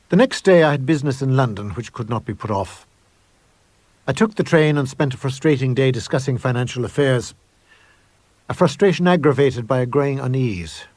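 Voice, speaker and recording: A man with a husky voice reading a ghost story as dramatic first-person narration, measured and suspenseful. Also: mid-range voice, darkish tone, no echo. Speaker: reader of a short story.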